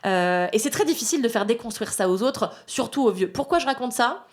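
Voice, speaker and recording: A woman in her thirties, a guest in a casual chat, telling lively stories and acting out what people said. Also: a little high, brisk. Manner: lively anecdotes with acted-out quotes.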